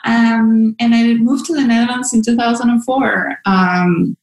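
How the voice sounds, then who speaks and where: A woman's voice: on the high side, fairly even intonation, unhurried, a little mellow, roomy sound. A woman from Venezuela whose first language is Spanish, speaking as an interview guest over a video call, hesitant and full of ums and restarts. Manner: hesitant, many ums and restarts, laughs often. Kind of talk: casual remote interview.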